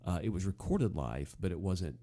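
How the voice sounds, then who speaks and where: A man hosting a casual talk show, giving commentary in a halting way, often circling back to rephrase. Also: low-pitched, lively intonation, mellow.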